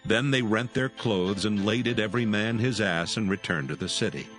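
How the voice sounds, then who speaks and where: A man's voice: lowish voice, normal speed, a bit bright, soft background music. A man narrating solemn scripture as part of a dramatised audio Bible.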